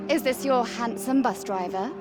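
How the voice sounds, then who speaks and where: A high-voiced young woman in a narrative game drama. She is exuberant and cheeky, stretching out her teasing words. Music plays behind her. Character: Molly, lively, cheeky young woman friend. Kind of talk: naturalistic game drama dialogue.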